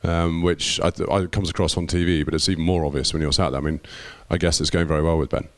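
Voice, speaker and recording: A man's voice: very deep voice, steady tone, fast talker. A man chatting casually as a panel interviewer, tentative and self-effacing. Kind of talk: casual chat.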